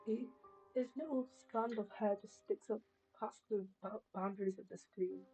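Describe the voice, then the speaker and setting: A woman's voice: mid-range voice, steady tone, moderate pace, dark tone, soft background music, poor audio. A woman game streamer giving casual live commentary while she plays. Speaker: game livestreamer.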